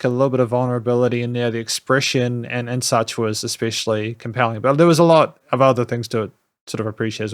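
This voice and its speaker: A man's voice: medium pitch, dry recording. Male music reviewer, casual and rambling, many restarts; close, dry mic sound. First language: not French.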